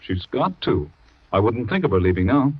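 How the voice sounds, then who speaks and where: An actor playing a husband who pushes a bitter poisoned tonic on his wife, falsely gentle and then bullying. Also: lowish voice, very animated, normal speed, slightly rough. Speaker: radio drama actor. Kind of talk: old-time radio acting. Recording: dry, dull old radio recording.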